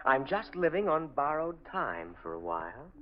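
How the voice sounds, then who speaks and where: An actor playing an accusing detective in a dramatic 1940s radio thriller. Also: male voice, fairly high pitch, very animated, moderate pace, faint music behind. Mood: grave, accusing. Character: male detective posing as a murdered doctor, accusing. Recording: muffled vintage radio broadcast recording.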